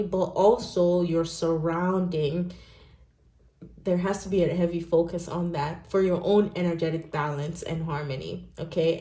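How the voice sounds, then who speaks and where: Chatty Nigerian woman in an echoey room. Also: on the low side. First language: not English. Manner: chatty, animated, many "okay?" and "right?" tags. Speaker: tarot reader. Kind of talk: casual spoken tarot reading to viewers. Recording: roomy echo.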